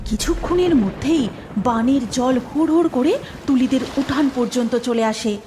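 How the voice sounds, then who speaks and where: A woman's voice: high-pitched, moderate pace, noisy background. A woman narrating a scripted cartoon story. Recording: music behind the voice.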